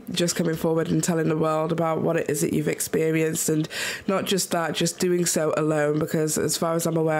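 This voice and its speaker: A woman's voice: sits low, creaky, a little mellow. A woman interviewing a young guest, a little sick and nasal, earnest and supportive with frequent ums. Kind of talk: video interview.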